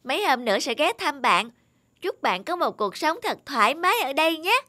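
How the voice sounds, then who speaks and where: High, kindly cartoon monkey. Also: very animated, moderate pace, sharp tone, exaggerated voice. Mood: friendly. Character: kind, obliging monkey friend. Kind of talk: children's cartoon fable acting. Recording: music behind the voice.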